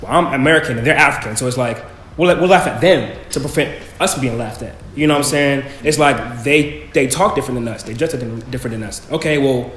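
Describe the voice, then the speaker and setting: A young man speaking on a casual student panel at an ordinary pitch. He talks earnestly and keeps checking that listeners follow him. The room is echoey, with a faint hiss of noise behind him. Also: quick pace, a bit bright. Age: young adult. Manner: earnest, keeps asking "you know what I'm saying".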